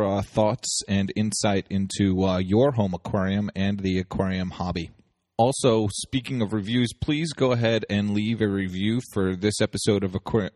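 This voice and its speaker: A man's voice: lowish voice, dry recording, clean studio sound. A man hosting a casual solo podcast while getting over a cold, talking loosely with many ums and uhs. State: recovering from a cold. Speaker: podcast host.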